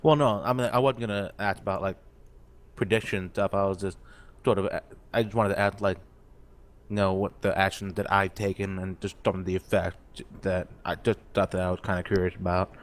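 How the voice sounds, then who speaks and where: A man's voice: low voice, steady tone, brisk. A man chatting casually as a game player, hesitant and rambling as he works toward his point. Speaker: role-play game player. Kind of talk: casual chat.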